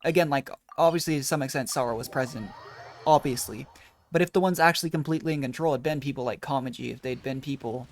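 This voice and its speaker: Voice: medium pitch, fast, slight background noise. Rambling, casual game streamer. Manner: rambling, thinking aloud. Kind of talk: casual playthrough commentary.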